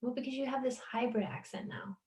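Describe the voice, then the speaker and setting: A woman with a Long Island accent hosting a casual podcast chat, animated and quick to laugh. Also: on the high side. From United States. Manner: animated, laughs often, talks in quick bursts. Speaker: podcast host.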